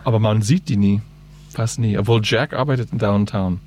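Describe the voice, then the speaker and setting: A man, a band musician, in relaxed everyday casual chat. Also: fairly low pitch, normal speed, slight background noise.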